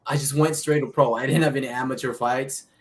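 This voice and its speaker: A man with a Filipino accent giving casual interview answers as a boxer, speaking haltingly with pauses mid-sentence. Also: medium pitch, fairly even intonation. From Philippines. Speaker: professional boxer, interview guest. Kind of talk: casual interview answers, recounting his life.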